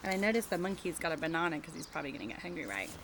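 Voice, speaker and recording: Animated woman teacher reading to young children. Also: low-pitched, very fast, a little hiss or hum. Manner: engaging the children with questions and tag questions. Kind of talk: animated picture-book read-aloud with chatty asides.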